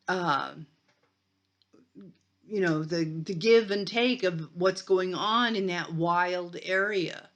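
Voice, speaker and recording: A middle-aged woman from Ireland with an Irish accent, giving a conversational university lecture full of restarts and asides. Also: on the low side. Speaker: college lecturer.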